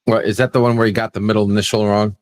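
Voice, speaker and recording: A man's voice: lowish voice, level tone, very fast. A man on a livestream panel, chatting casually and joking with the others. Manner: jokey, laughs often. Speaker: panel guest. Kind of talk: casual chat.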